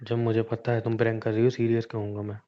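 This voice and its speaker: A man's voice: on the low side, monotone, fast. A young man chatting casually with his girlfriend in a teasing, unbothered way. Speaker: boyfriend on a phone call. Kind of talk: casual relationship chat. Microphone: phone. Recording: dull, muffled phone-call recording.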